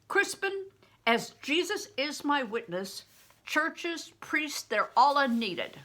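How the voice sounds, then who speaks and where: An older woman acts out a rough storybook mentor, a man who preaches and commands. The delivery is forceful and slow, with big swoops in pitch and a raspy edge. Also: exaggerated voice.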